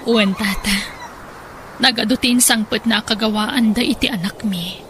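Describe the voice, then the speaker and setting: A woman with an Ilocano accent playing a gentle, long-suffering wife and mother in a radio drama, plaintive and soothing. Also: fairly high pitch, normal speed, slight background noise, lightly acted. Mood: hurt, pleading.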